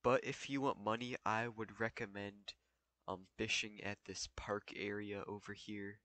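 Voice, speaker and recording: Slow, low-voiced young man. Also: level tone, sharp tone, no room sound. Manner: loose, filler-heavy, thinking aloud while playing. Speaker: gaming tutorial creator. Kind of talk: casual gameplay walkthrough.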